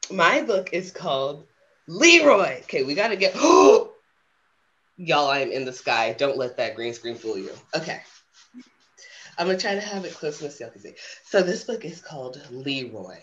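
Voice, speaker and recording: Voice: medium pitch, very animated, moderate pace, a bit bright, muffled recording. A woman reading a children's storybook casually, with playful, theatrical asides to the audience. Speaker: drag storytime guest reader. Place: video stream in front of a green screen.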